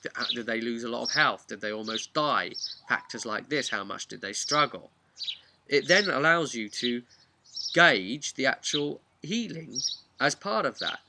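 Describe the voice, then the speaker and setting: A man giving an informal, animated, chatty explanation of tabletop roleplaying rules. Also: medium pitch, measured pace, noisy background. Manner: animated, chatty, acts out imagined player remarks. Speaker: tabletop game master giving advice.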